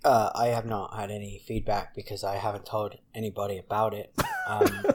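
A man from Britain with a British accent softened by years in America, chatting casually as a podcast co-host in a self-deprecating, halting way. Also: lowish voice, flat delivery, background noise. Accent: British English softened by years in the US. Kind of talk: casual chat.

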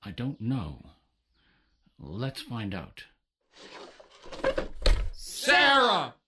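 An actor playing an excitable, devout father in a religious audio drama. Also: mid-range voice, drawn-out words, slightly rough, heavily acted. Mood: hushed, anxious.

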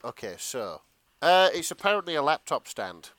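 A man's voice: fairly high pitch, very animated, normal speed, a bit bright, dry recording. A British man co-hosting in casual, teasing banter, full of jokes. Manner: joking, teasing. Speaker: podcast co-host.

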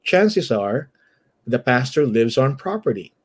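A man from the Philippines with a Filipino accent and a husky voice, telling his story conversationally as an interview guest. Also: mid-range voice, very animated, measured pace. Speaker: church elder and guest.

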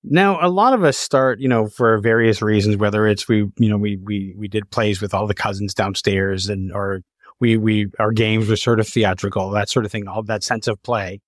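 A man's voice: fairly low pitch, moderate pace, dry recording, clean studio sound. A man hosting an interview, putting questions to guests in a conversational style. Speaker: interview host.

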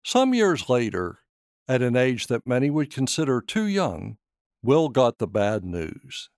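A man reading his own short story as scripted narration, in a measured, expressive storytelling manner. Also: mid-range voice, clear, resonant tone, no echo, clean studio sound.

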